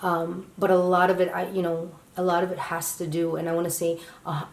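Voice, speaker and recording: A woman co-hosting a casual chat, halting as she speaks, often restarting her sentences while she searches for words. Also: lowish voice, little pitch movement, reverberant. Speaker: co-host.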